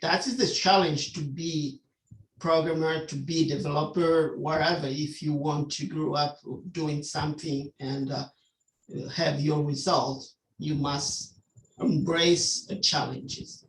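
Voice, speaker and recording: A man from Angola with an Angolan Portuguese accent, giving earnest advice in halting, emphatic non-native English. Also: on the high side, steady tone, slight background noise. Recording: roomy, echoing call audio.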